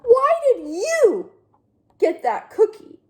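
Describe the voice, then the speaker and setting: An indignant storybook pigeon, acted out by a woman, pitched very high and swooping wildly. It whines and feels sorry for itself, stretching complaints out with melodramatic outrage. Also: huge pitch swings, heavily acted. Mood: wailing indignation. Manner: whining, exclamatory, drawn-out complaining. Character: indignant, self-pitying, melodramatic young pigeon. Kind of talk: children's picture book read-aloud.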